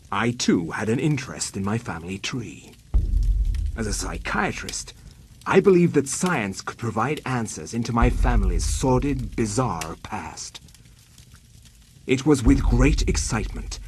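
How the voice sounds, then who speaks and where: A man playing an erudite psychiatrist in a video game, narrating in a refined, brooding, measured manner. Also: fairly low pitch. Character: erudite male psychiatrist, reflective heir of a haunted family. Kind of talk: dramatic game dialogue and narration. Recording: noisy compressed game cutscene audio.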